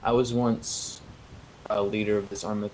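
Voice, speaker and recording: A man taking part in an online roleplay game, speaking haltingly and correcting himself. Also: lowish voice, flat delivery, a little mellow. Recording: slightly noisy game voice chat.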